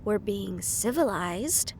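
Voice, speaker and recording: A woman's voice: mid-range voice, lively intonation, slow pace, a little mellow, a little hiss or hum, poor audio. Casting: female actor as composed, nervy right-hand woman to a crime boss, intimate audio drama delivery. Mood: firm, cautioning. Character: poised, anxious female right-hand assistant to mafia boss. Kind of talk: intimate audio roleplay acting.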